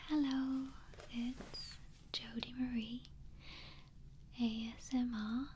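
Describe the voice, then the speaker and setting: A woman speaks softly in ASMR style, fairly high and very even in tone. She goes slowly, and the clip has clear noise and clicks. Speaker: ASMR creator sending greeting. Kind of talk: soft ASMR greeting.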